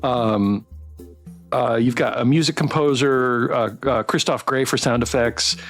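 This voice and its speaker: A man hosting a casual interview, chatting in a halting, rambling and encouraging way. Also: medium pitch, fairly bright tone, soft background music. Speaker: interviewer, stream host.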